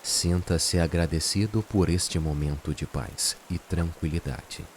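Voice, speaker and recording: A man with a Brazilian accent narrates a guided meditation in a soothing, unhurried way, leaving long pauses. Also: deep voice. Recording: steady rain and hiss behind voice. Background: rain sounds.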